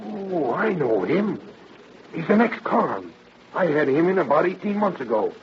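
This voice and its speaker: A man playing an easygoing, slangy police detective with a husky, rough-edged voice in an old 1930s radio drama. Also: a little high, big pitch swings, a little slow, a little hiss or hum. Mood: chatty. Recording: dull old radio recording.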